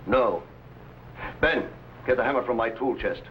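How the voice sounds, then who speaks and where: An actor playing an earnest, proud landowner and boss in an old adventure drama, speaking with emphatic authority. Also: male voice, mid-range voice, a little slow, lightly acted. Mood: alarmed, bitter. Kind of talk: vintage adventure drama acting. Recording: dull, noisy vintage drama soundtrack.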